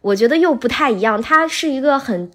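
Female podcast guest, fairly high voice, very lively intonation, casual explanatory talk. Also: a little fast.

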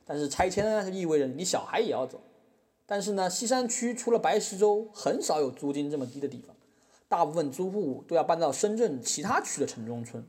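Male, ironic mock-humble artist as acted for courtroom satire, higher voice with big pitch swings. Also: lively intonation, a little fast, a little mellow, close to an everyday voice. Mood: wry storytelling. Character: wry, mock-apologetic male artist defending himself in court. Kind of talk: scripted satirical monologue in a podcast drama.

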